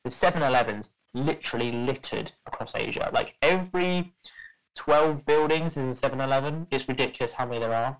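A man's voice: mid-range voice, a little fast, rough recording. A young man telling a story in casual podcast chat, rambling with lots of fillers and restarts.

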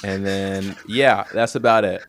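A man's voice: on the low side, steady tone, unhurried, a bit bright. A man hosting a podcast in casual chat, talking in a rambling, self-deprecating way. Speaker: podcast host.

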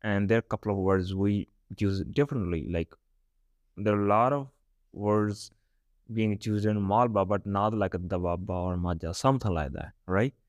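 A man's voice: on the low side, fairly even intonation, normal speed, no echo, studio quality. A young man from Punjab with a Punjabi accent, hosting a casual spoken lesson podcast and often checking in with "right?" between his points. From Punjab, India. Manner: chatty, often adds "right?" and "all right?". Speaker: podcast host teaching Punjabi.

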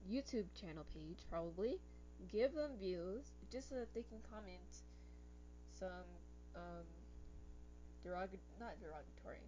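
Slightly hoarse young woman podcaster, rambling. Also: mid-range voice, darkish tone, dull audio, slight background noise. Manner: rambling, self-correcting, exasperated ranting. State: losing her voice, slightly hoarse. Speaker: podcast host. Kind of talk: casual podcast chat.

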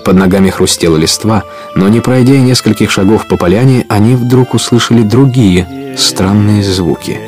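A man's voice: lowish voice, normal speed, darkish tone, soft background music. A man narrating a story for a Russian audio play in a scripted storytelling style.

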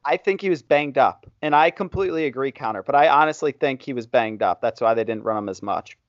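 A man's voice: medium pitch, expressive, fairly quick, dry recording, highs cut off. A man co-hosting a casual sports podcast, stubbornly contrarian and holding his ground. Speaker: podcast co-host.

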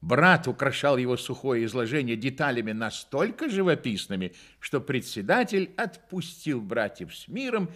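A man narrating a literary radio play as a deliberate, expressive storyteller. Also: medium pitch. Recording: studio radio play with music.

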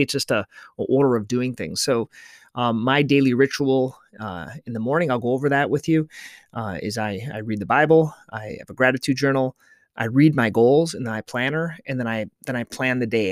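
A man's voice: medium pitch, no echo, studio quality. A middle-aged man hosting a podcast, talking casually in a rambling way with many restarts and fillers. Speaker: podcast host, podiatrist.

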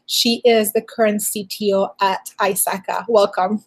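A woman's voice: medium pitch, flat delivery, measured pace. A woman hosting an interview, asking long, rambling questions with many fillers. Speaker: podcast host.

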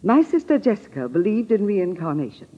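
A grave, husky, haunted radio-drama woman. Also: mid-range voice, big pitch swings, a little fast. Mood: uneasy, grave. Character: grave, uneasy woman haunted by her suspicions. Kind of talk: 1940s radio drama acting. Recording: muffled, reverberant old-time radio recording.

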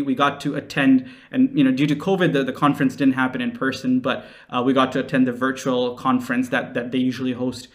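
A young man from Nepal speaks as an interview guest at a middling pitch. He explains things earnestly, in an easy conversational style, as a social entrepreneur would about their work. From Nepal, raised in several countries. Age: late 20s.

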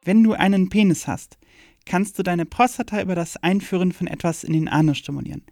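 A woman's voice: on the low side, brisk, darkish tone, no echo, clean studio sound. A woman reading a scripted educational radio play in a frank, matter-of-fact way. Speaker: performer in an audio play.

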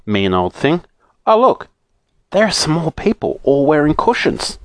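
A man voicing Moonface, an excitable, fretful male creature in a children's storybook. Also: fairly high pitch, unhurried, dry recording, clean studio sound, voice pushed up. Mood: grumbling.

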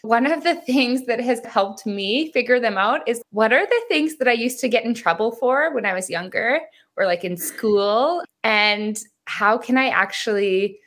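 A woman in her thirties, a hypnotherapist, talking as a podcast guest, chatty and upbeat, with a soft, soothing voice and frequent laughs. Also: fairly high pitch, lively intonation, unhurried. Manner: talkative, upbeat, laughs often. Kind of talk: casual interview chat.

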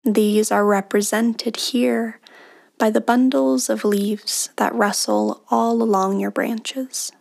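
A woman leading a guided meditation in a soothing, unhurried manner, with long pauses and soft, breathy phrase endings. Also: fairly high pitch, fairly even intonation. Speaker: meditation guide, podcast host.

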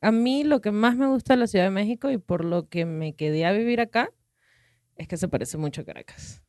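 A woman's voice: medium pitch, fairly quick, dry recording, studio quality. A woman in her thirties with a Venezuelan accent, a podcast guest chatting casually and telling long, rambling stories with easy laughs. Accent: Venezuelan Spanish. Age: 30s. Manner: relaxed, rambling storyteller who laughs easily. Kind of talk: casual conversational interview.

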